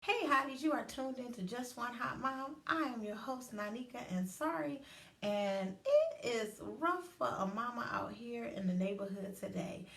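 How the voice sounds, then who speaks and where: A woman's voice: on the high side, normal speed. A woman, hosting her own show and chatting casually and animatedly on a livestream. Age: 30s. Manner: animated, chatty, acts out inner voices. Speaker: host. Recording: roomy echo, distant from mic.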